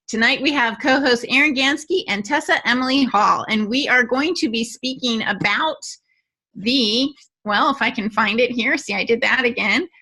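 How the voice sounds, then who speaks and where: A woman's voice: a little high, normal speed, sharp tone, poor audio. A woman hosting a podcast with casual, playful banter and joking asides. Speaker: podcast host and producer.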